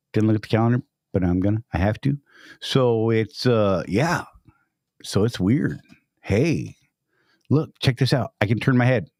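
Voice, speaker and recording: A man's voice: fairly low pitch, a little mellow, dry recording, studio quality. A man hosting a casual live stream, upbeat and greeting chat viewers by name.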